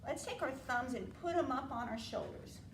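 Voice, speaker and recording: An American woman leading an interactive storytime for preschoolers, asking simple questions and drawing out key words in an animated way. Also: high-pitched, normal speed. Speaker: children's storytime librarian. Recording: roomy, distant mic, faint room noise.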